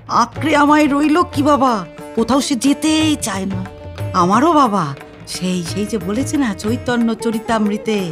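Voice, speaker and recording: An actress playing a devout, humble village woman ascetic in an audio story, speaking in a reverent, pleading, doting way. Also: medium pitch. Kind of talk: dramatised audio story acting. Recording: music behind the voice.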